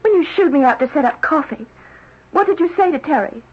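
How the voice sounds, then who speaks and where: Bitter young woman, high and sharp, on an old radio play. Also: expressive, a little fast. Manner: sharp, accusing. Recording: dull old radio broadcast.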